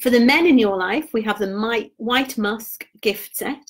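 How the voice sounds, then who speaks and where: A woman, chatting casually on a live stream about gift sets, her voice going hoarse. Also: fairly high pitch, measured pace, a little hiss or hum. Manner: chatty and informal, trails off quietly. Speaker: beauty product consultant.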